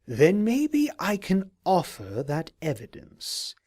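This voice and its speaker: A man voicing a scheming, falsely sympathetic unicorn advisor in an audio drama, speaking in a formal, deliberate, courtly manner. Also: high voice, wildly swooping pitch, a little mellow, slightly rough, heavily acted. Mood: calculating. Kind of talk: dramatized audio fiction reading.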